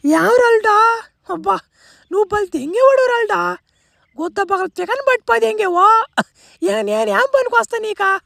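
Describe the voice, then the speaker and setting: A woman's voice: extremely high pitch, moderate pace, creaky, fairly bright tone, dry recording, studio quality, heavily acted. A woman voicing a complaining, earthy village aunt who nags in a comic cartoon dub. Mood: grumbling.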